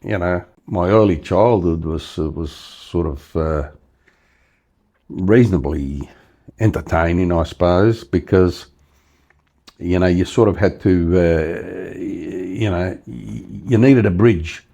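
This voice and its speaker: Rambling older Australian man, lowish voice. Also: takes it slowly, gravelly, warm tone. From Western Australia. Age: 70s. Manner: rambling, many fillers and restarts. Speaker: interview guest. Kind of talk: casual storytelling interview.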